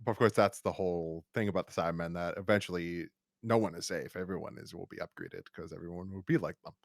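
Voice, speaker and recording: Man, fairly low pitch, casual podcast discussion, rambling, stumbling restarts, dry recording. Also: vocal fry, no echo. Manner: rambling, halting with frequent false starts and repeated words. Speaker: podcast co-host. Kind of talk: casual review discussion of an audio drama.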